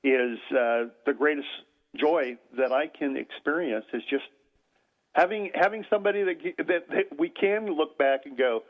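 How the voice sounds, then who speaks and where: An older man, a grandfather, talking as a guest on a radio show, with a loud voice and a halting manner full of fillers and restarts. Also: a little high. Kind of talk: radio talk show interview. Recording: tinny phone-like radio audio.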